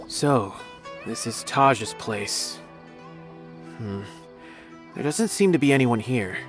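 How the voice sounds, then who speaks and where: An actor playing a loyal, conscientious young officer in a video game, earnest and hesitant. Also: male voice, mid-range voice, lively intonation, slow. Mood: anxious, hesitant. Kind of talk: video game character dialogue. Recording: music behind voice.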